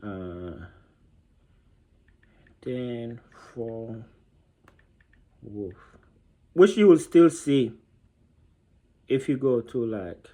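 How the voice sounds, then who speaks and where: A man speaks in a medium-pitched voice. He tells his own story informally, in a halting, reflective way, often pausing to search for the right word. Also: animated, mellow. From Haiti. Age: 30s. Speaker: vlogger telling his childhood story.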